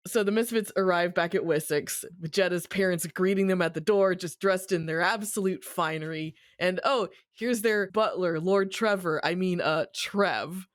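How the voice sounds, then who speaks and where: A podcast host with a medium-pitched voice, recorded dry and close. The delivery is slangy and wry, like someone animatedly retelling a story to friends. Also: normal speed, few pauses, no room sound. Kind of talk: casual recap discussion of a story.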